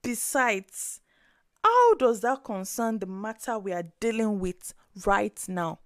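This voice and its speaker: A woman's voice: mid-range voice, lively intonation, slow, dry recording, studio quality. A woman voicing a wronged wife in a story, firm, restrained and indignant.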